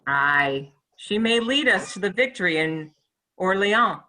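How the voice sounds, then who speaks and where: A woman's voice: mid-range voice, expressive, unhurried, crowd noise, close to an everyday voice. A woman playing a loyal, upright medieval French soldier in a play reading, with emphatic, archaic formal diction. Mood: solemn agreement. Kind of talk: stage play table read.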